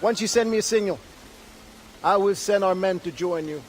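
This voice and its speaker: An actor playing a proud, principled Maroon rebel leader with a Haitian Creole accent, speaking in a measured, earnest way. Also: male voice, high voice, a little hiss or hum, lightly acted. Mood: encouraging. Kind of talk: dramatic video game acting.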